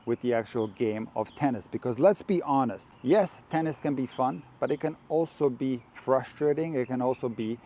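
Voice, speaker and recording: A man from Croatia, a tennis coach, speaks in a mellow, relaxed tone at a middling pitch. He talks to camera in an earnest, direct, measured way. He is outdoors. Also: slight background noise.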